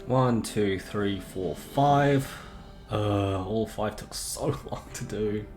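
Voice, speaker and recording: Guy streaming a game, sore throat, kinda droning and rambly, echoey room, soft music behind. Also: lowish voice, takes it slowly. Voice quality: monotonous, droning. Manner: rambling, self-correcting, chatty. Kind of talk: casual live stream chat, reading chat.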